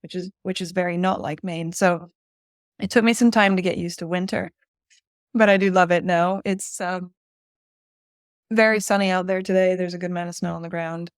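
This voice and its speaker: A woman's voice: fairly low pitch, fairly even intonation, moderate pace, clean tone, no room sound, clean studio sound. A woman in her forties from Spain, giving conversational answers as an interview guest. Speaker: interview guest, director at a design-build firm.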